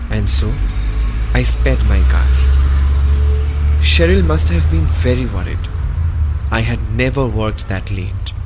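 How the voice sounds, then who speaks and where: A man's voice: medium pitch, lightly acted. A man acting a stranded, anxious but polite husband who narrates an eerie night in a radio drama, in a theatrical, suspenseful way. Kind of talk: dramatic first-person radio play narration. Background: prominent music.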